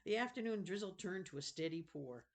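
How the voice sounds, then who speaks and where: A woman's voice: medium pitch, expressive, a little fast. An older woman reads a novel for school-age children. Her storytelling slips easily into informal, rambling asides, where she stops and corrects herself as she goes. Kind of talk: read-aloud storytelling with chatty asides.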